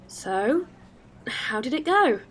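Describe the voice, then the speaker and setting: Higher-voiced, considerate woman acting a starship captain. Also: big pitch swings, takes it slowly, slight background noise, exaggerated voice. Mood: friendly, practical. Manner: gentle, considerate. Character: supportive, practical female starship captain. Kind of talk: science-fiction audio drama acting.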